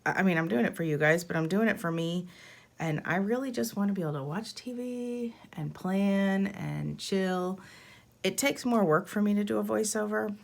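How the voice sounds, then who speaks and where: A woman's voice: medium pitch, moderate pace. A middle-aged woman with a froggy voice, chatting casually through a voiceover and rambling with self-deprecating chuckles. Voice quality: froggy, gravelly voice. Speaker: planner vlogger. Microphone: voiceover mic.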